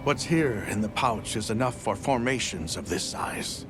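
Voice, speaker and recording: Actor as caring, sober male guide for game cinematic. Slightly low register, measured, instructive, over loud score. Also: lively intonation, close to an everyday voice. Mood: serious, cautioning. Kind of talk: cinematic video game dialogue. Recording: loud music under the voice. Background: loud music.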